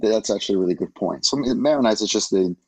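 Deep-voiced man, hesitant explaining. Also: fast, breathy edge, no echo. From Mississippi. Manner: hesitant, many fillers. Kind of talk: casual explanation.

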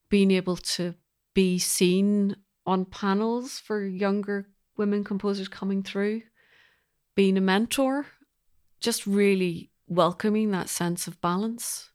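A middle-aged woman being interviewed about her work as a composer, speaking reflectively in measured, considered phrases. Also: mid-range voice, level tone, smooth.

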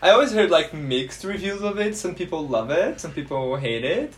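Man, podcast host, rather high voice, friendly, playful, restarts his phrases. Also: big pitch swings, normal speed, a little hiss or hum. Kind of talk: hosting a casual interview podcast.

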